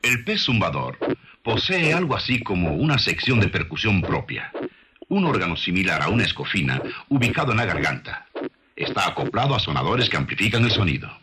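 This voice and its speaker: Male documentary narrator, lowish register, animated melodic phrasing, explanatory scripted read, noisy recording. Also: brisk. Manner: didactic, rhetorical questions to the listener.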